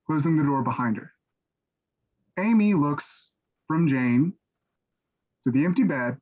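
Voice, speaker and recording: A man reading scripted stage directions as narrator, in a measured, matter-of-fact way. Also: mid-range voice, darkish tone. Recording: dull, lo-fi audio.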